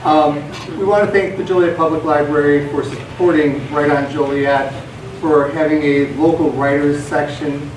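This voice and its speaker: A man from a theater company giving an informal, slightly halting curtain speech from the stage. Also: on the high side, fairly even intonation. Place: theater stage, recorded from a distance. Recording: echoey theater, distant mic, clear noise.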